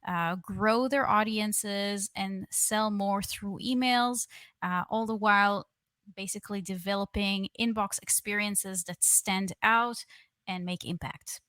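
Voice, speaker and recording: Guest voice: young woman from Israel, talkative and candid, mid-range pitch, dry close recording. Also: fairly even intonation, takes it slowly, a bit bright, no echo. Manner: chatty, candid, many fillers. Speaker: guest, email strategist. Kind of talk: conversational interview.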